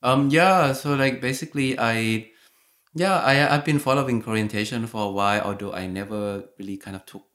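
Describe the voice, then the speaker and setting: A man's voice: medium pitch, moderate pace, fairly bright tone. A young man talking casually as a guest, hesitant and full of fillers and restarts. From Thailand. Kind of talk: casual conversation.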